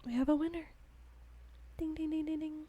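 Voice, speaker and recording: A woman whispering an ASMR reading in a soft, gentle voice, with light giggles between passages. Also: high voice, fairly even intonation, moderate pace, dull audio. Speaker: ASMR host.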